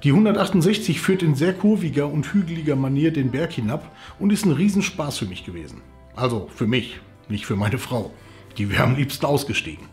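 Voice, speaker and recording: A man's voice: medium pitch, big pitch swings, fairly quick, darkish tone. A man in his forties hosting a travel channel, presenting scripted narration to camera indoors. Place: indoors, living room. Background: background music.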